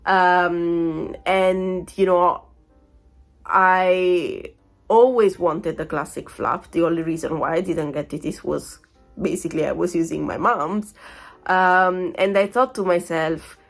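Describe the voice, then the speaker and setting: A woman's voice: on the low side, steady tone, takes it slowly, gravelly, soft background music. A woman in her thirties chatting through a vlog unboxing, still getting over a cold, rambling with plenty of fillers and small laughs. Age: 30s. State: recovering from a cold, voice sounds off. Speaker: vlogger. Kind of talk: casual unboxing chat.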